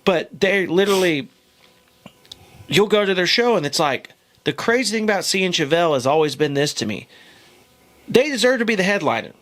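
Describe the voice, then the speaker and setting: A man's voice: high voice, big pitch swings, fairly quick, long pauses, fairly bright tone. A man hosting a casual podcast about music. He rambles, restarts his sentences and swears casually. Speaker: podcast host and band member.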